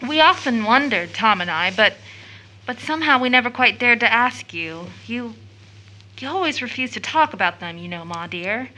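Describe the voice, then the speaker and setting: An actress playing a tender, anxious young daughter in an audio drama, halting and gentle in her speech. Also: on the high side, lively intonation, rough recording. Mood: hesitant. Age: young adult. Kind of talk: stage audio drama acting.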